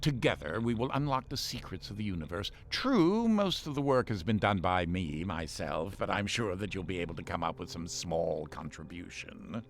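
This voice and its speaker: An actor playing a pompous, sarcastic artificial intelligence ship companion for a game voice pack, full of condescending disdain. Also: male voice, sits low, very animated, normal speed, few pauses, slight background noise. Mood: grandiose. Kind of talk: scripted game voice-pack acting.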